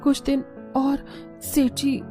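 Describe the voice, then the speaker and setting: A woman plays a poor, humble wife who works as a street vendor in a moral cartoon. The voice is fairly high, gentle and plaintive, with faint music behind it. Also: completely flat delivery, slow. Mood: sad. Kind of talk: Hindi moral cartoon voice acting.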